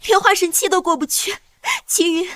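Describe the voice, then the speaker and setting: A woman voicing an anxious, devoted young maiden, pleading, in an animated fantasy drama. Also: very high voice, normal speed, no echo, clean studio sound. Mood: worried, pleading. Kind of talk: animated xianxia drama dubbing.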